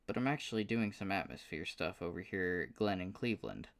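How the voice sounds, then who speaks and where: A man's voice: sits low, lively intonation, a little fast, fairly bright tone. A man voicing an eager, folksy male scientist with a Southern US drawl, pouting when he can't help. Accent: Southern US, put on.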